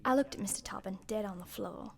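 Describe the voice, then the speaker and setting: A woman playing a wry, suspicious young journalist who narrates an amateur radio mystery in a deliberate, dry way. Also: mid-range voice, vocal fry, breathy edge. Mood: wry, brooding. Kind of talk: scripted first-person mystery narration. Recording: faintly noisy home recording.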